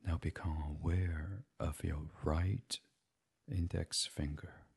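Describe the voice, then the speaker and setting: Deep, husky, breathy man guiding sleep meditation. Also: steady tone, takes it slowly. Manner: soothing, hushed, drifting into whispers. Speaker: guided meditation host. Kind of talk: gentle guided sleep meditation, ASMR.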